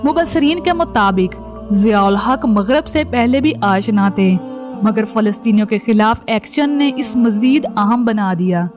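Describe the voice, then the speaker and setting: A woman with a fairly high voice narrates a scripted documentary. Music plays clearly behind her. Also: a little slow. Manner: formal, news-report delivery. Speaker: narrator and channel presenter.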